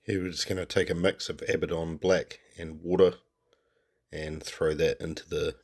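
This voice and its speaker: Low-voiced New Zealand man with a cold. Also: normal speed, husky. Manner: relaxed, trailing off with "sort of" and "um". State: has a cold. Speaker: painting tutor. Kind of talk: voice-over painting tutorial.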